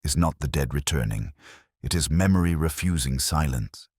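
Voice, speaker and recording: A man acts out a shrewd Victorian detective from a mystery audiobook. He is cool and commanding, with a very deep, dryly recorded voice and cryptic, deliberate phrasing. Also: lively intonation, darkish tone, breathy edge, no echo, studio quality, full character voice. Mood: grave.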